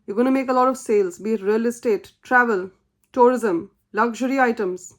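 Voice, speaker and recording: A woman with a somewhat high voice gives a tarot reading in English, which is not her first language. She speaks earnestly and encouragingly, repeating her key phrases for emphasis. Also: brisk. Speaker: tarot reader.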